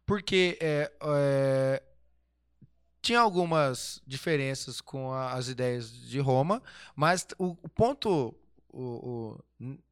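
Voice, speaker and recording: A man's voice: mid-range voice, animated, slow pace, smooth. A man telling history, rambling and restarting, asking himself rhetorical questions. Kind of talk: casual history chat.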